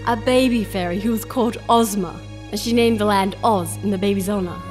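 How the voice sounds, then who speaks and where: An actress as a storybook narrator, telling a fairy tale in a measured, scripted way. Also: female voice, medium pitch, over music, natural voice. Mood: storytelling.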